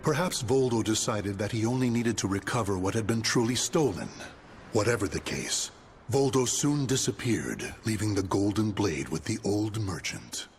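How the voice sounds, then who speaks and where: Low, expressive male game narrator. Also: normal speed, soft background music. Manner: solemn, storytelling. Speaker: story narrator. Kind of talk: scripted dramatic game narration.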